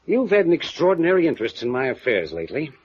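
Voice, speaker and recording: A man's voice: a little high, wildly swooping pitch, fast talker, breathy edge, heavily acted. A man playing a guarded, well-spoken wealthy father in a 1950s radio noir drama, speaking formally and evasively. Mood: smooth, probing. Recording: muffled vintage radio drama recording.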